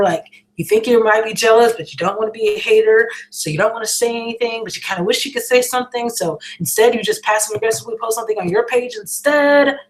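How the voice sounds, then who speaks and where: An American woman with a slightly scratchy voice talks casually and earnestly to a livestream audience, encouraging them with informal, emphatic repetition. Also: a little high. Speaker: musician hosting a livestream question and answer session. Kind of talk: casual encouraging chat, reading out viewer questions.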